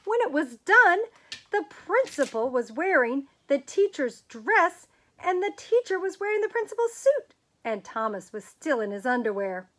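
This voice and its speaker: Lively, high-voiced woman reading a storybook. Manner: expressive, dramatic emphasis with drawn-out words. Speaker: storyteller reading a children's book aloud. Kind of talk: animated read-aloud of a picture book.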